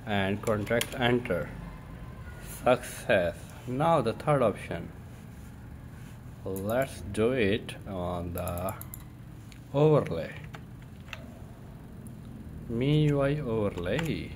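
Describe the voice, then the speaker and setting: A man presenting an informal step-by-step tech tutorial in a halting way, thinking aloud as he works. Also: lowish voice. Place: indoors at a computer desk. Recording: steady room noise.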